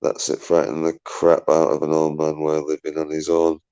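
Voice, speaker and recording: A man hosting a casual live stream, swearing freely, laughing a lot and bantering with callers in a loud voice. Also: monotone, fairly quick, smooth, muffled recording. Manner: says he has a deep, loud voice. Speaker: livestream host.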